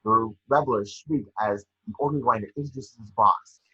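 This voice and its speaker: Very raspy man reading scene descriptions. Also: mid-range voice, moderate pace, pushed and bright, highs cut off. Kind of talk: scripted narration at a table read.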